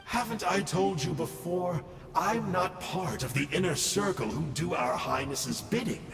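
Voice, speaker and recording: An actor playing a smug, theatrical villain scholar in a video game, with a teasing, mock-dramatic drawl. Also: male voice, high-pitched, unhurried, vocal fry, reverberant, in a large hall, music in the background, full character voice.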